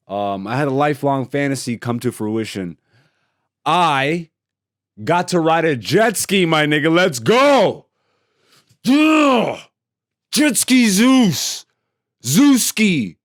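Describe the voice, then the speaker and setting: A man's voice: a little high, very animated, slow pace, long pauses, no room sound, studio quality. A man hosting his own podcast solo, chatting in a laid-back, joking and profane way, rambling from topic to topic. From Greece. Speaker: podcast host. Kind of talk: casual solo podcast chat.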